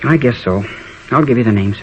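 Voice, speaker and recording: A man plays a troubled high school boy in a 1950s radio drama. He talks briskly in schoolyard slang, hesitant yet cooperative. The vintage recording is dull and muffled. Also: a little hiss or hum, natural voice. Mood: reluctant.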